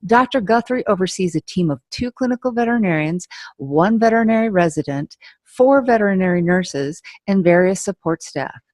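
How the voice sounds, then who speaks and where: A woman hosting a podcast, reading a guest introduction steadily and then asking casual, lively questions. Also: medium pitch, no room sound. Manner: measured reading, then laughing, animated questions. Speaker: podcast host. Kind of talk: read introduction, then casual interview chat.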